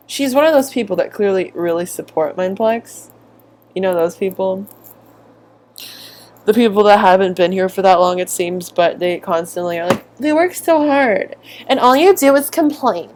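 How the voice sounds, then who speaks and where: Female video commentator, casual and sarcastic, mid-pitched, given to mimicking.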